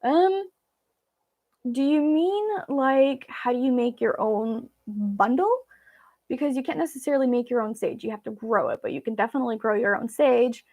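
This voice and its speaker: A woman's voice: on the high side, animated, normal speed, darkish tone. A woman from Belarus, a native Russian speaker, chatting casually and informally with viewers as she hosts a live Q&A. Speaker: vlog host.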